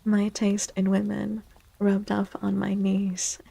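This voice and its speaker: A woman hosts a soft-spoken ASMR stream in a mellow, breathy voice that often drops toward a whisper. Her delivery is slow and gentle, with a creaky edge, and she soothingly repeats little phrases to listeners. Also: mid-range voice, completely flat delivery, no room sound.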